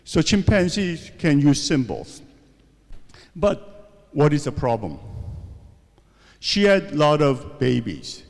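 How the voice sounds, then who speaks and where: A man's voice: a little high, very animated, slow, pauses often, in a large hall. A man giving a university seminar lecture, asking rhetorical questions and often checking in with "okay?" and "right?". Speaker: seminar speaker.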